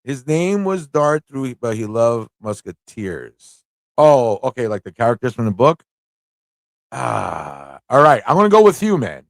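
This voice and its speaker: A man's voice: medium pitch, big pitch swings, a little slow, vocal fry, dry recording. A man hosting a casual live-stream trivia show, chatty and playful, often thinking aloud to his viewers. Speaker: host of a live pop culture show.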